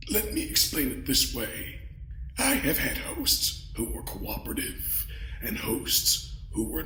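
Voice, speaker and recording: A man voicing an ancient, courtly alien mind-parasite in an audio drama, pompous and smugly menacing, savoring his pauses. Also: mid-range voice, creaky, a bit bright, exaggerated voice. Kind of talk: scripted audio drama acting. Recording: roomy echo.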